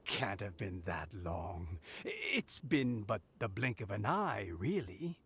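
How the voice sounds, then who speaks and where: An actor playing a frail, kindly old man in a video game, with a creaky, halting voice and gentle chuckles. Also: lowish voice, sing-song, exaggerated voice. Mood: wistful, tender. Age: older. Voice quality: creaky, aged voice. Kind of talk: video game cutscene acting.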